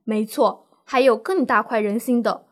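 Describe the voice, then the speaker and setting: A woman plays a lawyer advising a client in an educational radio drama. Her voice sits somewhat high, and she stays calm and reassuring as she lays out legal points in formal, explanatory phrasing. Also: moderate pace, natural voice. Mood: explanatory, firm.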